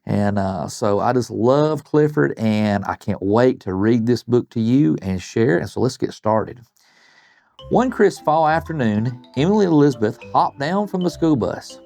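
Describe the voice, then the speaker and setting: An older man reading a bedtime story to children, expressive, with chatty asides and questions to his listeners. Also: mid-range voice, a little slow, creaky, faint music behind. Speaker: guest storybook reader, retired principal.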